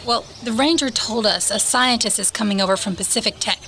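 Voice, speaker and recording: Actress doing an eager chatty young woman in a radio play, high voice, pretty noisy recording. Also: brisk, sharp tone. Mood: chatty, admiring. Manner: chatty, insistent. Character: eager, admiring, sociable young woman, a pastor's niece. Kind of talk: stage-style radio drama acting.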